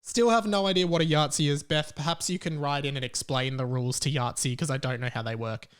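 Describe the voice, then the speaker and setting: A young Australian man hosts a casual solo podcast. His voice sits a little higher than most men's. He talks in a rambling, conversational way, often repeating words for emphasis. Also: quick pace, clear, resonant tone. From Melbourne, Australia. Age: 20s. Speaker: podcast host.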